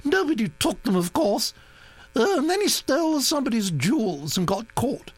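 A man reading an audiobook, voicing an imperious elderly aristocratic duchess who is brisk, bossy and disapproving. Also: very high voice, very animated, slightly rough, no room sound, pitched above the performer's own voice, full character voice. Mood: gossiping.

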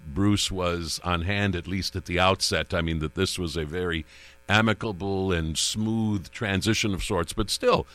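A man hosting a radio interview, asking easygoing, somewhat halting questions. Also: low-pitched, fairly even intonation, fairly bright tone. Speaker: radio host.

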